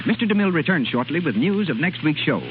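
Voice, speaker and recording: A man's voice: fairly high pitch, very animated, fast. An American man making formal announcements in the style of 1930s radio. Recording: tinny, hissy 1930s radio recording.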